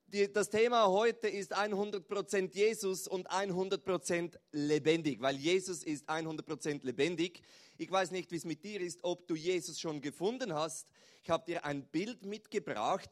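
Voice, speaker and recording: A man's voice: sits high, unhurried. A man with a Swiss German accent preaching in standard German, informal and putting questions directly to his listeners. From Switzerland. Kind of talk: sermon.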